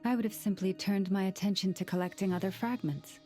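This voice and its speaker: A woman playing a calm, dutiful young female fighter in an anime game, formal and restrained. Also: medium pitch, fairly quick, faint music behind, natural voice. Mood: grave, calm.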